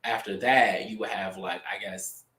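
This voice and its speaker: A man co-hosting a casual talk show, talking in an animated, expressive way with drawn-out emphasis. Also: low-pitched. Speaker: podcast co-host. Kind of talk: casual chat. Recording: echoey room.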